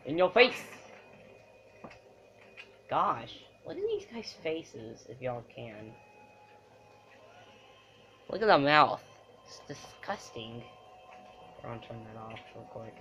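A man's voice: mid-range voice, big pitch swings, slow pace, pauses often, a little hiss or hum. A young man giving casual, exclamatory, rambling commentary as he plays a video game. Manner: rambling, exclamatory, mild slang. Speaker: let's play gamer. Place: room in front of a TV screen. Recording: dull lo-fi camera mic, loud game audio. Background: game music and sound.

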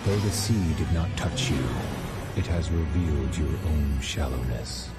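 A man playing a calm, wise god of animals in an animated fairy tale, speaking in slow, solemn pronouncements. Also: rumbling low. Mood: solemn, welcoming. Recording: music loud behind the voice. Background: loud music.

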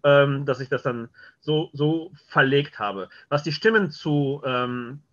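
A man's voice: medium pitch, lively intonation, slow pace. A man chatting casually as an interview guest over lo-fi call audio. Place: indoors at home, video call.

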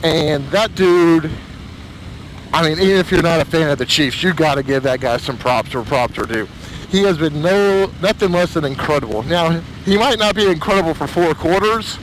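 A hoarse man chats to his camera in a slightly high voice, rambling and emotional, often stopping and restarting his sentences. A faint hiss of background noise sits under him. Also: moderate pace, poor audio. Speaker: motorcycle vlogger. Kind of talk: casual chat to camera.

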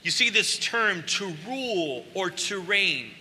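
Bright-voiced man preaching in a hall. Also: high voice, slow, slight background noise. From born in Costa Rica. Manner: conversational, animated, with gestures and asides. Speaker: pastor. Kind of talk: sermon. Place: large church stage. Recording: long hall reverb.

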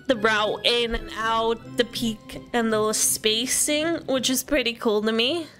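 A woman's voice: on the high side, bright, forceful tone. A woman giving a casual walkthrough commentary of a video game. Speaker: gaming video presenter.

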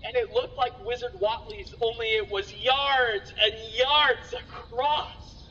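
Horror-struck villager, male actor, wailing, strained and very sharp, radio drama, muffled in long reverb. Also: very high voice, steady tone, slow pace, slight background noise, heavily acted. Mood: shaken. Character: hysterical villager traumatized by a monstrous sight. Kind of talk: theatrical radio drama acting.

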